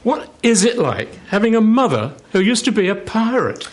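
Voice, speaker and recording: A man's voice: high voice, sing-song, normal speed. A man playing a curious, cheeky office co-worker in a radio play, chatting in a teasing, conversational way. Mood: amused, curious. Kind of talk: stage radio play acting.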